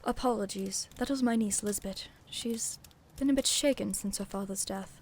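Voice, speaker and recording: A woman's voice: mid-range voice, normal speed, a little mellow, close to an everyday voice. A woman playing a formal, firm aunt who runs an estate, in an amateur radio play. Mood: gracious. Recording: faintly noisy home recording.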